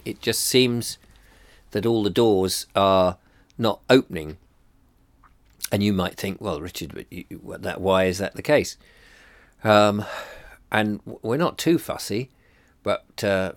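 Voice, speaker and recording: A middle-aged man with a stuffy, bunged-up nose talks in a deep, low voice. He muses aloud, pausing on ums and restarting his thoughts. The sound is dry and close, with no room around it. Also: dry recording. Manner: hesitant, many ums and restarts, musing. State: congested, bunged up. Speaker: vlogger. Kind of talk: rambling personal reflection to camera.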